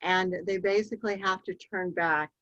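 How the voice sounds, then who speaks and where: Woman presenter, unhurried storyteller, mid pitch, muffled lo-fi recording. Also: fairly even intonation, a bit bright, dry recording. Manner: measured, slow storytelling with occasional ums. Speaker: local history speaker. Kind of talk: slide-show history talk. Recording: dull, lo-fi dry recording.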